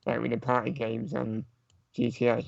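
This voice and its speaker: A man on a gaming podcast panel, talking casually in short, halting pieces. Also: mid-range voice, little pitch movement, vocal fry, no echo, dull audio.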